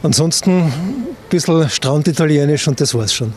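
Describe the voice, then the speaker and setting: A man answering a street interview in a relaxed, wry way. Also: fairly high pitch, normal speed, a little hiss or hum. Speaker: passer-by. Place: outdoors on a city street.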